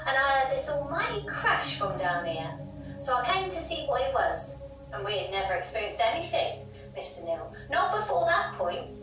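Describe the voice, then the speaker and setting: A woman's voice: fairly high pitch, a bit bright. A woman playing an insistent, fearful pub landlady, telling of a haunting emphatically in an audio drama. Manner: emphatic, dramatic, repeats herself. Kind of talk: audio drama storytelling in an interview. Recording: very echoey noisy room, distant mic.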